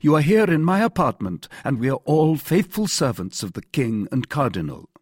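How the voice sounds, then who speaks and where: A shrewd young musketeer, acted out by a male audiobook reader, bold and commanding. His pitch rides high and swings widely, with a slightly husky edge and a dry, close sound. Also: sing-song, moderate pace, a little mellow, dry recording. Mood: bold, feigned compliance. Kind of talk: audiobook character acting.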